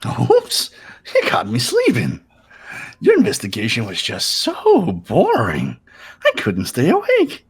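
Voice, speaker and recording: A man voicing a mischievous, childish robot bear for a game, raspy, theatrical and teasing in a sing-song way. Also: mid-range voice, huge pitch swings, slow, full character voice. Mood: mock whining. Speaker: amateur voice actor. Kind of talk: fan voice-over of a visual novel game.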